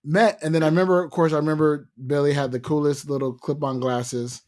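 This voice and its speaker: A man's voice: a little high, little pitch movement, a little fast, darkish tone. A man hosting a casual podcast interview, rambling and repeating words as he searches for what to say. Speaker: podcast host.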